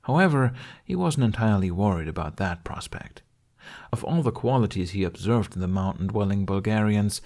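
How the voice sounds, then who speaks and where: A man narrates a story in a low-pitched voice with a pronounced gravelly creak. He reads scripted fiction in the manner of an audiobook narrator. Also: darkish tone.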